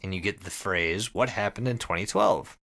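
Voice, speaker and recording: A man hosting a podcast, telling a story casually to friends in an excited, conversational way with some swearing. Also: low-pitched, moderate pace. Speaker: podcast host.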